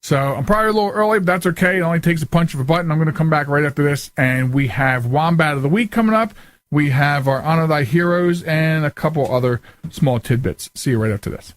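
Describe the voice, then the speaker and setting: A man with a slightly high voice hosts a casual radio show. He talks in a chatty, rambling way, and the sound is dry with little room around it. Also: animated, fast, no echo. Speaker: radio show and podcast host. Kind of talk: casual conversational radio hosting.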